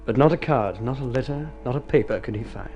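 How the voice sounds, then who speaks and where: A male narrator tells a scripted story on a vintage radio drama recording. His voice sits at a middle pitch. Music plays clearly behind him as he sets the scene. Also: animated, fast talker, dark tone, dull audio. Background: prominent music.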